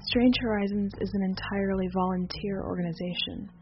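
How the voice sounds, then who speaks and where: A woman's voice: medium pitch, fairly even intonation, fairly quick. A woman hosting a podcast, speaking directly to listeners with casual announcements. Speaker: podcast host and editor. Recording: dull, lo-fi sound. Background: plucked guitar music.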